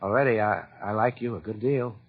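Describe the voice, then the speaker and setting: A man's voice: on the low side, brisk. An actor in a 1940s radio drama playing a kind-hearted, teasing young American man who plays Santa. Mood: earnest, teasing. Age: young adult. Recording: muffled old 1940s radio recording.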